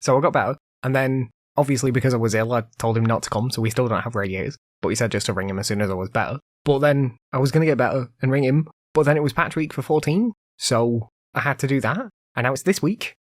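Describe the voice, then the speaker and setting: A man's voice: mid-range voice, quick pace, no echo, studio quality. A man hosting a casual solo podcast, rambling conversationally with lots of fillers, still recovering from an illness. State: recovering from illness, voice occasionally cutting out. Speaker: podcast host.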